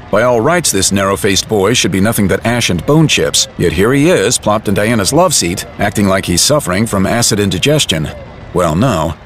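A man narrating a dramatised audiobook in a wry, colloquial storytelling manner. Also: fairly low pitch.

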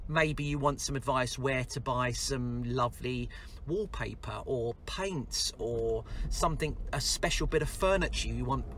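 A man's voice: mid-range voice, unhurried, a bit bright. A middle-aged man with an English accent chatting casually and in a rambling, informal way in a car vlog. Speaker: vlogger, design and construction business owner. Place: inside a car. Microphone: camera at about arm's length. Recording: clear vehicle noise inside a car.

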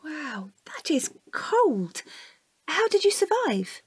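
Curious little girl in an audio drama, really high swoopy voice, wide-eyed and full of questions. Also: big pitch swings, slow pace, a little mellow, exaggerated voice. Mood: awed curiosity. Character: inquisitive young girl, nine, eager and awed.

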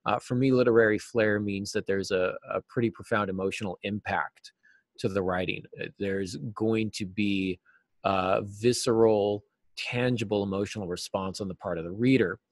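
A man in his forties giving earnest, explanatory answers as an interview guest, stressing key words deliberately. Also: fairly low pitch, steady tone, no room sound. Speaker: writing teacher and novelist, interview guest. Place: small cluttered office, video call.

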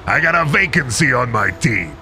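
A man's voice: medium pitch, big pitch swings, moderate pace, full character voice. A man playing Satan, a folksy boastful devil. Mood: gleeful. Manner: folksy drawling salesman patter. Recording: over loud music score.

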